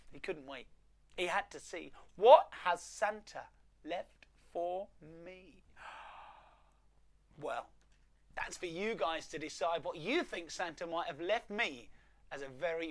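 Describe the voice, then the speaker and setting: A performer reading a rhyming storybook aloud to children at home, with theatrical pauses and emphasis. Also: medium pitch, fairly bright tone.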